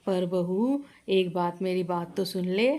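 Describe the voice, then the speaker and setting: A woman voicing a patient, wise older mother-in-law in a moral story, reassuring and gently preaching. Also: fairly low pitch, slow, voice pushed down. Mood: pleading.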